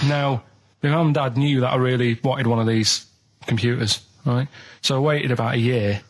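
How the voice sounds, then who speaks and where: A young man, telling a long story on a radio show in halting, rambling sentences full of restarts. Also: medium pitch, fairly even intonation. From England. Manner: rambling, halting, plain-spoken anecdotes with many restarts.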